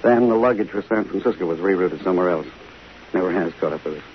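An actor playing a smooth, flirtatious Hollywood leading man in a 1950s radio drama. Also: deep voice, fast, natural voice. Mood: confiding. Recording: hissy, muffled 1950 radio broadcast.

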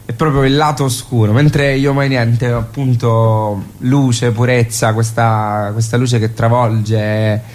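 A man's voice: medium pitch, little pitch movement, takes it slowly, few pauses, a little mellow, slight background noise. Reflective man in a radio interview. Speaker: theatre director and actor being interviewed.

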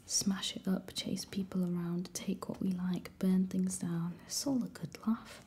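A woman's voice: on the low side, little pitch movement, measured pace, mellow, husky, exaggerated voice. A woman reading aloud and voicing a cocky, scheming teenage boy who raises the dead, all in a whisper. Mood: sly. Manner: whispered, sly and teasing.

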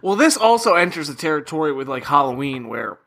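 A man co-hosting a podcast in casual film chat, animated and often laughing, swearing freely. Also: fairly high pitch, brisk, vocal fry. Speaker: podcast co-host.

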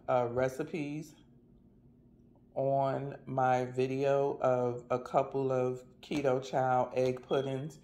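Gravelly, even-toned American man. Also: medium pitch. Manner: folksy, colloquial. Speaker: vlogger reviewing meal products. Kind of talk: casual product review chat.

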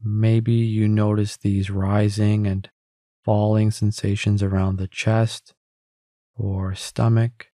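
A man leading a guided meditation in a calm, gentle, unhurried way, giving simple instructions with pauses. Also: on the low side, no room sound, studio quality. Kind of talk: guided meditation, scripted narration.

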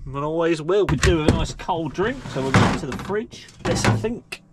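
An excitable man in his thirties talks casually into a handheld camera in his truck cab. His voice swings up and down in pitch and sits a touch high. Engine and road noise hum clearly behind him. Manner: chatty, excitable, quick exclamations. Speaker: truck driver vlogger. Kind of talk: casual vlog commentary. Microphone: handheld action camera.

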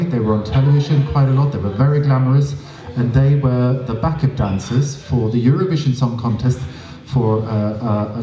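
A man's voice: medium pitch, mellow. A Norwegian man telling stories as an interview guest on a small stage, chatty and drily funny, with many fillers, into a handheld mic. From Norway. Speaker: interview guest, drag performer. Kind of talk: casual storytelling interview. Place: small stage with audience, indoors. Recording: roomy, from a distance.

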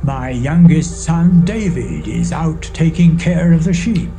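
A father from ancient Israel in an audio Bible drama. His voice is fairly high, very soft-edged and slow, over faint music. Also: expressive. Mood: plain, obliging. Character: Jesse, father of many sons, unhurried.

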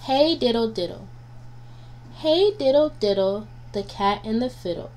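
A woman reading nursery rhymes aloud for children as a guest reader, in a deliberate, sing-song way. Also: fairly high pitch, expressive, a little mellow. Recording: noisy home recording with hum.

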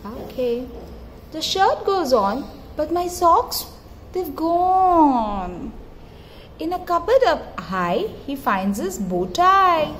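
A woman with a high voice reads a children's picture book at an unhurried pace. Her delivery is expressive and singsong, with encouraging little prompts for a toddler. It is a home phone recording with clear background noise. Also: rough recording. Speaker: mother reading to her toddler. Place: tiled floor in a room at home.

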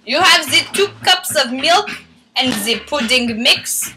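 A woman's voice: high-pitched, animated, unhurried. A young woman playfully hosting a mock cooking show in a home kitchen, giving silly instructions in a mock-formal tone. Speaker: vlogger cooking show host. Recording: home video with loud music behind. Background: rap music playing.

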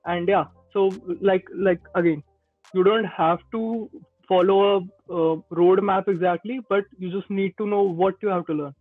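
Young indian guy, high pitched, casual dev advice on a tinny call. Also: fairly even intonation, measured pace, no echo. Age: 20s. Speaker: podcast guest, Android developer. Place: small indoor room. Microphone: earbuds on a video call. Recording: tinny phone-like call audio, faint music.

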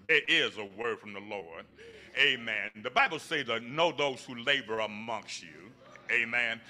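A man with a deep voice introducing the evening's speaker at a church pulpit, peppering his talk with amens, on a handheld microphone. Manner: warm preacher cadence with frequent amens. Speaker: introducer of the speaker, her older brother. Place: large church sanctuary. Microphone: handheld mic at pulpit, far camera.

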